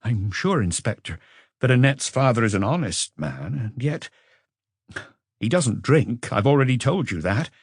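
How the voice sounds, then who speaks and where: A man's voice: medium pitch, sing-song, unhurried, breathy edge, dry recording, clean studio sound, heavily acted. Man playing an earnest, troubled man confessing. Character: troubled man under questioning, dismayed, searching for words.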